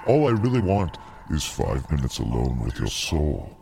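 A man's voice: very deep voice, sing-song, moderate pace, creaky, dark tone, soft background music, exaggerated voice. A man voicing a sly, bro-talking cave monster who makes deals with smarmy, casual salesman patter in a comedy audio drama. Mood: sly, scheming.

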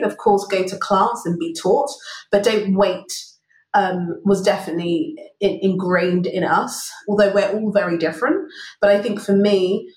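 A woman from the UK, a guest in a podcast interview, talking reflectively with many "you know"s. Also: medium pitch. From United Kingdom. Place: remote call. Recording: roomy remote recording.

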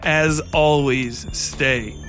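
A man's voice: medium pitch, steady tone, very slow, music in the background. A man hosting a podcast, speaking casually and irreverently in a loose, rambling, sweary style. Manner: irreverent, profane, informal. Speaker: podcast host.

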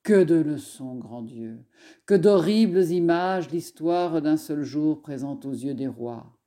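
Slow, low-voiced woman reciting solemn poetry. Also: lively intonation, warm tone. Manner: measured, declamatory. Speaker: scholar reading poetry.

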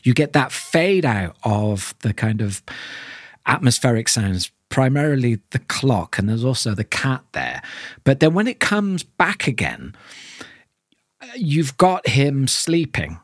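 A man's voice: mid-range voice, big pitch swings, unhurried, no echo, studio quality. A man hosting a podcast, talking casually and analytically, thoughtful and often questioning, seeking agreement as he goes. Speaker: podcast host.

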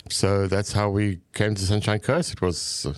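Male podcast guest, southern African accent, low-pitched, conversational storyteller, close dry recording. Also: flat delivery, unhurried, dry recording. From Zambia and South Africa.

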